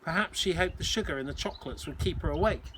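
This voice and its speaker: Male teacher, storybook narration, fairly high voice, expressive reading, noisy background with birdsong. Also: quick pace.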